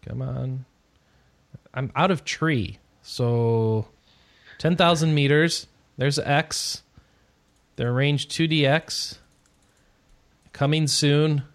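A man's voice: a little high, drawn-out words, long pauses, no echo. Rambling, sarcastic male podcast host. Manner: rambling, sarcastic asides, trailing off into mumbles. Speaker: podcast host reading gaming news. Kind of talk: casual podcast chat.

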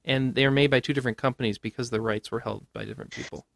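A man's voice: mid-range voice, steady tone, racing, gravelly, a bit bright, dry recording. A man hosting a casual panel podcast about gaming news, speaking in a conversational way and given to chuckling. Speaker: podcast host.

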